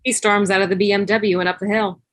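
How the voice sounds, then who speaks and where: Brief: woman narrator, mid-pitched, reading scene headings and action, dry video-call sound. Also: little pitch movement, quick pace, fairly bright tone, dry recording. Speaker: stage-direction narrator. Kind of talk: scripted narration.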